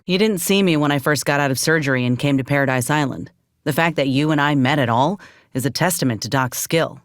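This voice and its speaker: A woman's voice: low-pitched, no echo. A battle-worn frontier woman soldier in a video game, loyal and guarded. She talks in a folksy, plainspoken way with a dry, wry edge. The sound is dry, with no room on it. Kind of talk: video game character dialogue.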